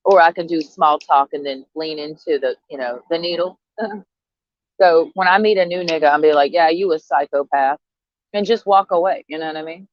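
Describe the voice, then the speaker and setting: A woman's voice: sits low, moderate pace, no echo, muffled recording. A woman in her thirties vlogging in a brash, profane, sarcastic monologue, laughing often as she talks. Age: 30s. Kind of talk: casual monologue to camera.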